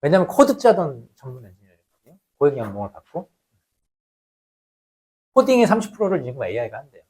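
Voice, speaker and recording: A man giving an explanatory lecture in a conversational, emphatic way, posing questions and answering them himself, often dropping quieter at the ends of phrases. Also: on the high side, wildly swooping pitch, quick pace. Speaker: lecturer, labour researcher.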